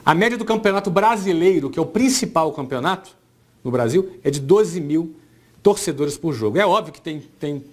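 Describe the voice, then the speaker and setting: A man's voice: on the high side, lively intonation, moderate pace. Brazilian man in his forties speaking informally. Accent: Brazilian Portuguese. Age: 40s. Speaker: entrepreneur giving a talk. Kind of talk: informal motivational business talk.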